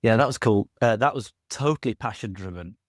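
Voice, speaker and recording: Middle-aged guy, low voice, rambles and fixes himself mid-sentence, dry close sound. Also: a little fast, dry recording. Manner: rambling, self-correcting, jokey asides. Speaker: podcast guest. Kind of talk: casual conversational interview.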